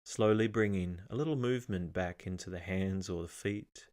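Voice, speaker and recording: Low-voiced man guiding a meditation. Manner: calm, measured, instructional with pauses. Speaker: meditation guide. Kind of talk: guided meditation narration.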